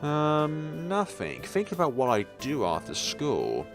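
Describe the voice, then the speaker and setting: A man's voice: a little high, huge pitch swings, takes it slowly, music in the background, voice pushed up, exaggerated voice. A man reading the part of an awkward, self-conscious teenage male student in a visual novel, speaking hesitantly. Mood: hesitant, uneasy. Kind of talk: visual novel dialogue read aloud.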